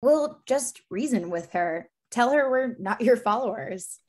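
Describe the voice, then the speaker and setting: A woman playing a kind, optimistic young heroine with an earnest, friendly delivery in an animated fantasy table read. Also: on the high side, a little slow. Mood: hopeful.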